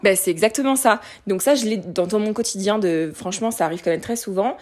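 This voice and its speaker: A woman's voice: mid-range voice, brisk. Candid woman in her late twenties. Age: late 20s. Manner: candid, animated, many fillers and restarts. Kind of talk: casual conversation.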